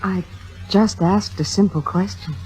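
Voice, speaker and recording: An actress playing a demure, eager young theater fan in a 1950s Hollywood radio drama, polite and hesitant. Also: female voice, mid-range voice, fairly even intonation, unhurried, a little mellow, natural voice, low-quality recording. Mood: meek, curious. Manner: polite, hesitant, self-effacing. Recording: vintage radio recording, music behind.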